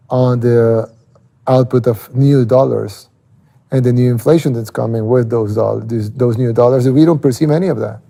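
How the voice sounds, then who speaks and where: A man from El Salvador with a Salvadoran Spanish accent, explaining things casually in an interview and haltingly repeating words as he thinks. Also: medium pitch, gravelly, warm tone.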